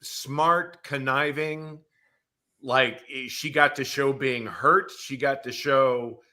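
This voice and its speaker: Male panelist for casual chat: sharp bright tone, emphatic, blunt, a bit salty. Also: mid-range voice, steady tone, slow. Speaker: podcast panelist.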